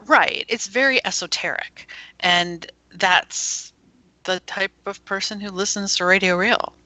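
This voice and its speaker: A woman's voice: mid-range voice, a little slow, sharp tone, no echo, poor audio. A woman who runs an internet radio station, chatting as a guest with frequent laughs and plenty of enthusiasm. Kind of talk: casual interview chat.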